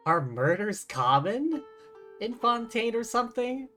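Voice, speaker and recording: A woman's voice: on the high side, sing-song, unhurried, fairly bright tone. A woman streaming a game, reacting casually to the story in an offhand, conversational way. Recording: game music behind the voice.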